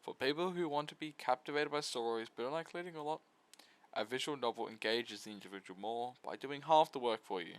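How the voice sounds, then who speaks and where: A man's voice: mid-range voice, fairly quick. A man giving a casual video essay review of visual novels, full of flippant, irreverent asides.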